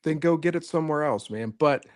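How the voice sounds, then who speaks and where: A man's voice: a little high, fairly quick, a little mellow, dry recording, clean studio sound. A man co-hosting a casual sports podcast, talking earnestly and rambling, often restarting his sentences. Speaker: co-host, coach.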